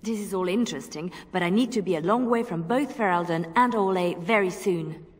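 A woman's voice: lowish voice, a little fast, husky. An actress voicing a wounded, earnest young woman bard in a video game, wary and questioning. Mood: upset. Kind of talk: video game cutscene acting. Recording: long reverberant hall echo.

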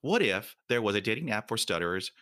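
A man's voice: lowish voice, sing-song, fast talker, pushed and bright. A man hosting a podcast interview, playful and laughing at his own questions, with an occasional stutter. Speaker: podcast host.

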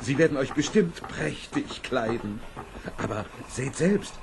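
An actor playing a sly swindler posing as a weaver, flattering and falsely humble in a fairy-tale audio drama. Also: male voice, medium pitch, unhurried, creaky. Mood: ingratiating. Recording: noisy old cassette.

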